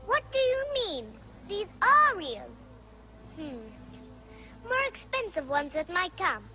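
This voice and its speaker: Wheedling female trader, slow, bright voice, broken sentences, video game. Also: big pitch swings, soft background music, exaggerated voice. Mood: coaxing. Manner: clipped broken sentences, insistent sales pitch. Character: wheedling woman market trader hawking dubious treasures. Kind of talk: video game character acting.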